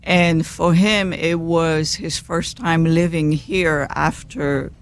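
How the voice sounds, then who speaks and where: A woman's voice: deep voice, steady tone, takes it slowly. A middle-aged woman from Belgium, a native French speaker with a Belgian French accent, talking as a guest in a live interview.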